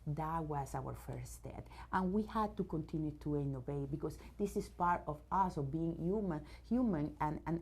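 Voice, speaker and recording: Woman, from Venezuela, English speaker, low voice, hesitant restarts, enthusiastic, CEO interviewee. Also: darkish tone. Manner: many fillers and restarts, searching for words; enthusiastic about her subject. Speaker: robotics company CEO, guest. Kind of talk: news interview.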